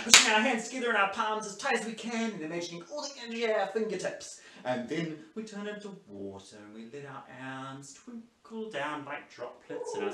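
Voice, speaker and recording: A man's voice: high-pitched, heavy background noise. A young man presents to young children, giving playful, enthusiastic and encouraging instructions. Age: young adult. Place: living room, indoors. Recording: roomy, distant camera sound.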